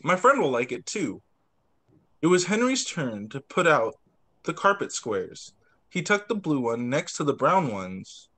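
A young man reads a picture book aloud as a teacher would for small children. His voice sits a little high and swings widely in pitch. He narrates expressively and drops in short asides. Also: a bit bright. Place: indoors, on a video call.

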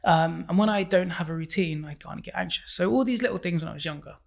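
A man's voice: high-pitched, fast, gravelly. A man, speaking openly as a podcast guest in a small studio, on a desk mic. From England. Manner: open, earnest, restarts and fillers. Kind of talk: casual interview. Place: small studio room, indoors. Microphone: desk microphone on stand.

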